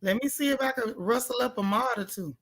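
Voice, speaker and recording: A woman hosting a casual live recap chat, with a loud, gravelly, hoarse voice, greeting viewers by name with folksy slang. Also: a little high, brisk. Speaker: livestream host.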